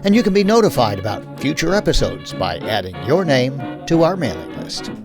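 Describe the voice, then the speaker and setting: Animated male announcer over music. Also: medium pitch, brisk. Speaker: announcer of the series. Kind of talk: scripted outro narration. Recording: music behind the voice.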